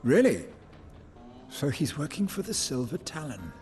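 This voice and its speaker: Wry, lively-voiced bounty hunter ally. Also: male voice, on the high side, a little slow. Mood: businesslike. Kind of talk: video game voice acting.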